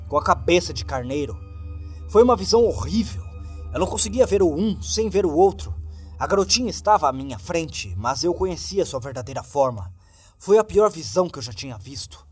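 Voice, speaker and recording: A man with a Brazilian accent reading a scripted horror story as narrator, in a grave and dramatic manner. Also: fairly high pitch. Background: background music.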